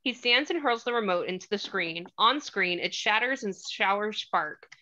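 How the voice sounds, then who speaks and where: A woman's voice: mid-range voice, animated, normal speed, sharp tone, dull audio. A woman reading stage directions as scripted narration at a table read.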